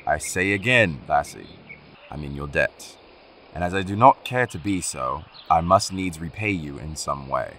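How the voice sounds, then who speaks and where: A man's voice: very deep voice, animated, moderate pace, bright, forceful tone, a little hiss or hum, exaggerated voice. A man playing a grumpy, proud talking hare in a radio play, with pompous, old-fashioned formal phrasing. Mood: insistent, formal.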